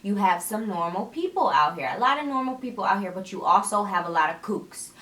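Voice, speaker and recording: A young woman chatting casually to her camera, energetic and sassy, with a tired, slightly raspy voice late at night. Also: mid-range voice, fairly quick. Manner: energetic, chatty, laughs often, sassy asides. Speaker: vlogger. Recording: echoey room.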